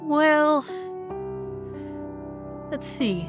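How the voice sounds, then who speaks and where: A woman playing a frank, supportive idol friend in an animated drama. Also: sits high, drawn-out words, exaggerated voice. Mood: easygoing, reflective. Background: music.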